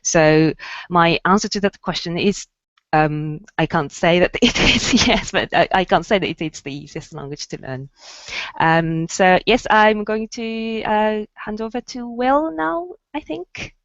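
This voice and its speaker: A woman whose first language is Japanese, speaking on an academic panel in a thoughtful, measured way with small laughs. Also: mid-range voice, fairly bright tone, no echo, muffled recording.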